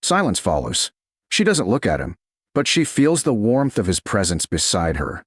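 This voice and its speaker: A man narrating a scripted romance story in a measured, dramatic way, in short clipped phrases. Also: on the low side, huge pitch swings, fairly bright tone, breathy edge, dry recording, studio quality. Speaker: audiobook narrator.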